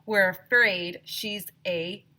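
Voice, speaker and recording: A woman voicing an excitable, suspicious third-grade boy from a storybook, insistent and conspiratorial. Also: mid-range voice, slow pace. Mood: alarmed, accusing.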